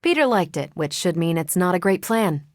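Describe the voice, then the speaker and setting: Low, dry-toned American superhero woman. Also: sing-song, moderate pace, dry recording. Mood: assured, casual. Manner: casual, dry, teasing confidence. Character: confident, wry female superhero and former military pilot. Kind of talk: video game character dialogue.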